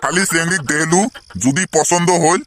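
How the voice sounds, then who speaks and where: Man acting a grumpy, higher-pitched old cartoon father. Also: fairly even intonation, moderate pace, fairly bright tone, natural voice. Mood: furious, mocking. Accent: northern Bengal (Cooch Behar region). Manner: gruff, scolding, coarse-mouthed. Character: grumpy, stingy, older village father. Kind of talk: comedy cartoon voice acting in rural dialect.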